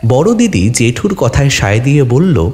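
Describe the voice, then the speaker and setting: A man narrating a scripted, atmospheric first-person story in a deliberate, literary, expressive manner. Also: medium pitch, a little hiss or hum.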